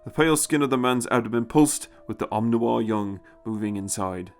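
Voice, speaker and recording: A man's voice: medium pitch, a little fast, clean tone, faint music behind. A man giving a dramatic, scripted narration of a story.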